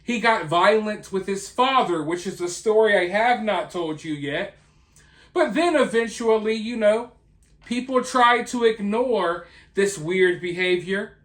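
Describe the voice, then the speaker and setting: The recording is roomy and echoing. An opinionated true crime host speaks at a slow pace, leaning on words and pausing for drama. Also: mid-range voice, expressive.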